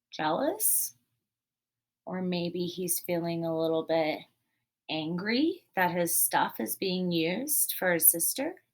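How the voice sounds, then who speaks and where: Expressive woman teacher reading aloud. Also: fairly low pitch. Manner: expressive, explanatory, addresses young children. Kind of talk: storybook read-aloud with guiding questions.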